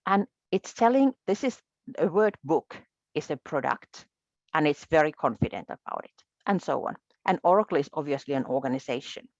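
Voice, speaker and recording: A woman from Finland, a Finnish native speaker, walking through a software demo in a methodical, explanatory way. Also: deep voice, expressive, moderate pace, dry recording, muffled recording. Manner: explanatory, methodical, with small restarts. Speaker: presenter.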